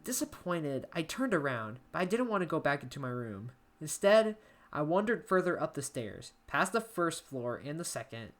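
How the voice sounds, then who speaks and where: A woman reading a first-person horror story as an amateur narrator, expressive and dramatic, letting phrase endings drop to a hush. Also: deep voice, normal speed. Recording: soft guitar music behind the voice. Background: plucked guitar music.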